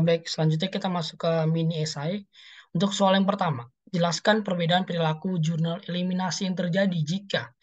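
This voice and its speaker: A woman's voice: on the low side, darkish tone, muffled recording. A young woman reading formally from prepared material as a student presenter. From Indonesia. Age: young adult. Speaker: university accounting student presenting. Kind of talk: scripted group presentation, formal reading aloud.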